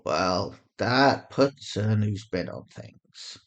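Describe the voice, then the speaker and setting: A performer voicing a weary, resigned male interrogator with quiet menace, speaking in a measured, understated way in an audio drama. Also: low voice, animated, full character voice. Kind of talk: acted audio fiction.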